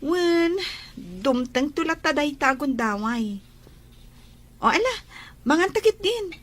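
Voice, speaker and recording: A woman plays a sweet young country girl, chatty and eager. Her voice sits high, with an Ilocano accent, in a radio-style drama. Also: big pitch swings, slow, fairly bright tone, exaggerated voice. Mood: chatty, hopeful.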